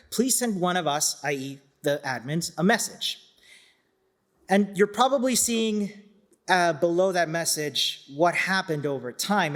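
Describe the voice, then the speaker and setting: Male conference speaker, middle-aged, from Chile, pitch a bit above average; informal, enthusiastic, self-effacing delivery. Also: fairly bright tone. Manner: enthusiastic, self-deprecating, conversational asides. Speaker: conference speaker, community organiser.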